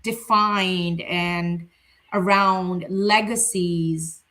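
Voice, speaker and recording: A woman's voice: fairly low pitch, steady tone, very slow, fairly bright tone. A woman who is a family therapist, a native Urdu speaker, talking candidly and reflectively in a casual panel conversation, laughing easily. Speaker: family therapist and center founder.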